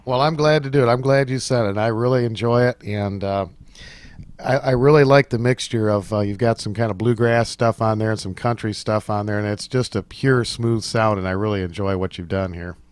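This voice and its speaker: Male radio host, very low voice, upbeat friendly patter, dry studio sound. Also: fairly even intonation, a little fast, clean tone, no echo. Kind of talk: radio interview hosting.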